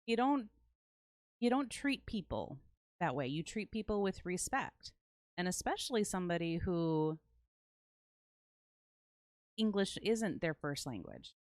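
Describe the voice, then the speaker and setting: A Midwestern woman with a lowish voice hosts a casual podcast chat, outspoken and blunt, with no holding back on swearing. Her voice is recorded close and dry, with almost no room sound. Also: sing-song, unhurried, pauses often, a little mellow, dry recording. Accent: Midwestern American. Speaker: podcast host.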